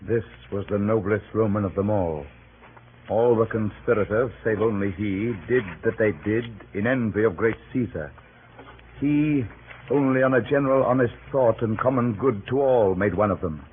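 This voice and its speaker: An actor as a solemn, low-voiced Roman orator. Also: male voice, moderate pace, natural voice. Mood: solemn tribute. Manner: stately, oratorical verse delivery. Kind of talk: 1930s radio Shakespeare acting. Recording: muffled, scratchy 1930s radio broadcast.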